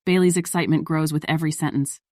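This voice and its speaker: A woman's voice: low-pitched, fairly even intonation, fast talker, mellow, dry recording, studio quality. A woman narrator reading scripted story narration for an audio drama.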